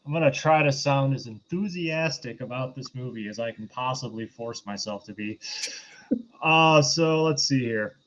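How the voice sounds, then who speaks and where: A man hosting a casual film review discussion, speaking in a halting, hesitant way. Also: medium pitch, fairly bright tone, dull audio. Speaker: podcast host.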